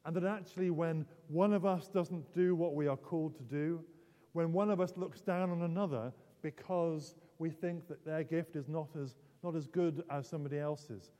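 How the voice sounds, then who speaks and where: British preacher with failing voice, reverberant church. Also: male voice, on the high side, normal speed, warm tone. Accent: British English. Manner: hesitant, self-correcting, repeats words. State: losing his voice, throat clearing. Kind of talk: sermon, conversational and explanatory. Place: large indoor church, long reverb.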